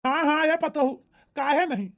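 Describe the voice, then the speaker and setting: Female performer doing cartoon village folk, high pitch, Awadhi accent, emphatic declamatory speech, family comedy style. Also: lively intonation, moderate pace, a bit bright. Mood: pleased, reassuring. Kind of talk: exaggerated cartoon comedy dialogue.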